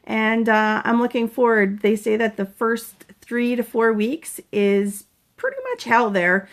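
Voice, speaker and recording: Chatty woman in her forties, slightly high voice. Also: a little slow. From Canada. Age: 40s. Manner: chatty, earnest, breaks off to greet viewers brightly. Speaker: fitness coach sharing concussion recovery. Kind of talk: casual live-stream vlog, greeting viewers.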